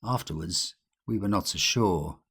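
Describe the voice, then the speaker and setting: A man reading a literary ghost story as a narrator, in a measured, old-fashioned storytelling manner. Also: low-pitched, fairly bright tone, husky. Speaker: audiobook narrator.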